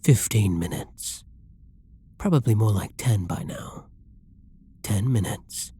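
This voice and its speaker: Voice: deep voice, animated, slow pace, mellow, a little hiss or hum. An actor voicing a flirty, possessive villain who menaces and teases a hostage in an ASMR roleplay, domineering and often dropping into whispers. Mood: breathy, threatening. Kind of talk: roleplay ASMR audio drama monologue.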